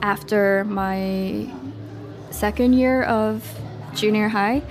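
A woman's voice: medium pitch, fairly even intonation, extremely slow, soft background music. A woman in her twenties from Taiwan, a native Mandarin speaker, recalling her school years in a chatty interview. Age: 20s. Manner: chatty, with fillers like "like" and "um". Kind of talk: casual personal recollection.

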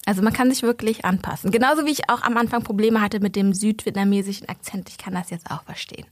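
A woman in her thirties, a podcast guest, telling lively stories in a casual chat and laughing often. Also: medium pitch, quick pace, no room sound, clean studio sound. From Germany, Berlin.

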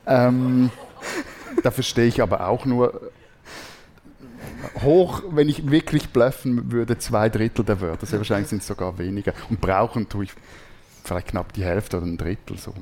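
A Swiss man with a Swiss German accent, co-hosting a lively, joking panel chat in front of a live audience. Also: lowish voice, moderate pace. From Switzerland. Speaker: podcast co-host.

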